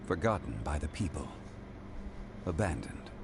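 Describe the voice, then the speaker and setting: An actor playing a calm, solemn ancient god in a video game, speaking formally and with measured gravity. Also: male voice, rumbling low, background noise, heavily acted. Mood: solemn, wise. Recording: game audio with music underneath.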